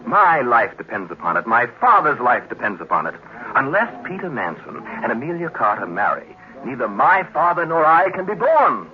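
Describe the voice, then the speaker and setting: Actor as smug, animated meddling time traveller. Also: male voice, mid-range voice, moderate pace, lightly acted. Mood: insistent, lecturing. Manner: lecturing, emphatic, theatrical. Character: meddling young grandson from the future, smug and insistent. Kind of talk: 1950s radio drama acting. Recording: dull vintage radio recording.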